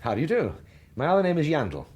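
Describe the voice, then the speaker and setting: The sensible English best mate: husky, very mobile intonation, wry and questioning. Radio drama. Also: male voice, a little high, wildly swooping pitch, quick pace, a little mellow, exaggerated voice. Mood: wry, impatient. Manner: dry, questioning, exasperated. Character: dry, wary English male friend, sardonic and sensible. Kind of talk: British radio drama acting.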